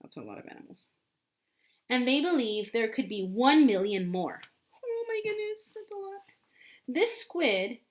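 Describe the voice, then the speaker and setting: A woman teacher reading a book aloud as a lesson, repeating key points and adding simple explanations for children. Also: medium pitch.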